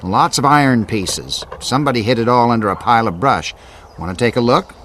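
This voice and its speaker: An actor playing an inspector's plain-spoken, down-to-earth sidekick in a radio mystery, talking in a folksy, informal way. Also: male voice, mid-range voice, expressive, brisk, a bit bright, slight background noise, close to an everyday voice. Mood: curious, plain. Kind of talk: old-time radio mystery drama acting.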